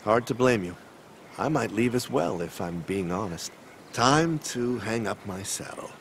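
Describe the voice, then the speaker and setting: A man's voice: fairly low pitch, expressive, unhurried, slight background noise. An actor playing a kindly, melancholy older newspaperman in a video game, wistful and slightly literary in his phrasing. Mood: gentle, wistful. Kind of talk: video game cinematic dialogue.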